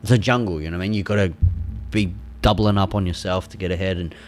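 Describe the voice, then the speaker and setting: Slangy Australian man, lowish voice, some noise. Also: steady tone, fast talker, a little mellow. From Melbourne, Australia. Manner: slangy, says "bro" constantly. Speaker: podcast guest, youth worker. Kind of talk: casual podcast chat.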